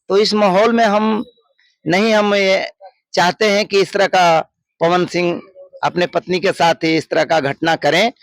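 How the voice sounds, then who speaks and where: A man's voice: on the high side, gravelly, dry recording. A local man giving his opinion in a street interview, earnest, emphatic and repetitive.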